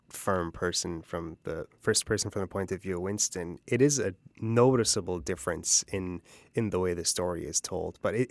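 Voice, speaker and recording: A man's voice: low voice, creaky, no room sound. A man co-hosting an interview show, discussing books in a conversational way. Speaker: co-host, interviewer.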